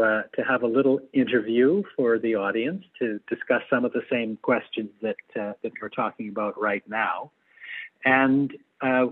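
A man gives interview answers over a narrow, phone-like connection. His voice is husky and mid-pitched, and he feels his way thoughtfully into elaborate, articulate phrases. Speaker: theatrical adapter, interview guest. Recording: muffled, thin phone-like line.

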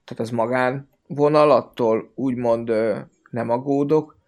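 A man in a casual podcast discussion, speaking in a hesitant, halting way and often repeating words. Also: mid-range voice, fairly even intonation, a little mellow. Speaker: football fan podcast guest.

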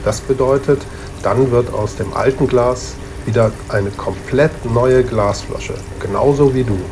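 Male returnable bottle acted by a man, medium pitch, patient, knowledgeable, reassuring guide, children's radio play, clear noise behind. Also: unhurried, lightly acted. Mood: patiently explaining. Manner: reassuring, explanatory.

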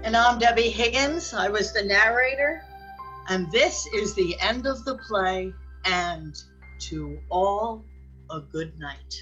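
A woman's voice: mid-range voice, lively intonation, slow, a bit bright, dull audio, soft background music. A woman narrating a scripted radio drama as its storyteller.

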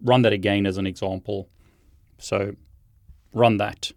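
Male tech instructor, slightly low voice, very dry close recording, explanatory step-by-step demo delivery. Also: steady tone, a little slow, darkish tone, no room sound. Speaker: tech video host and instructor. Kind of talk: hands-on demonstration talk.

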